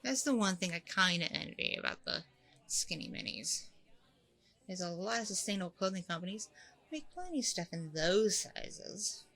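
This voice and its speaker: A woman playing a chatty, candid, self-deprecating single mom on a blind date in a casual audio roleplay, rambling informally with a creaky voice. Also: medium pitch, expressive, moderate pace, fairly bright tone. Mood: wistful, mildly frustrated. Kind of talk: ASMR audio roleplay, casual conversational acting.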